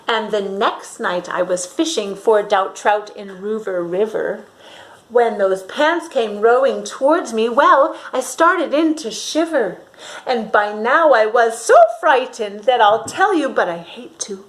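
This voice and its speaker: A woman reading a storybook aloud to young children in an expressive, dramatic way, pausing to ask her listeners questions. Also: on the high side, slight background noise, rough recording.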